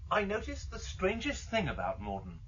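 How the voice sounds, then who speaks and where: An actor playing an earnest, determined exile on a search in a radio drama, with a slightly husky, intense voice. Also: male voice, sits high, huge pitch swings, moderate pace, a bit bright, highs cut off, slight background noise, heavily acted. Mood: thoughtful, resolved.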